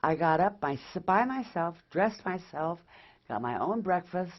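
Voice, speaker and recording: A woman with a low, husky voice chats casually as a patient giving an update. Her pitch moves up and down in a lively way. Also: measured pace, highs cut off. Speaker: patient reporting recovery.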